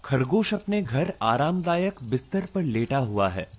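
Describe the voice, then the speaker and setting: Slow, expressive male storyteller. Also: medium pitch, noisy background. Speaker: narrator. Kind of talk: scripted children's story narration. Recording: loud music bed behind voice.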